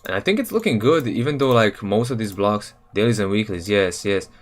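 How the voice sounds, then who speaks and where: A man's voice: on the low side, lively intonation, a little fast. Laid-back young man from Serbia streaming. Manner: laid-back, rambling, slangy, with many fillers and self-corrections. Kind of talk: casual live-stream chat while building a game level. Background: keyboard and mouse clicks.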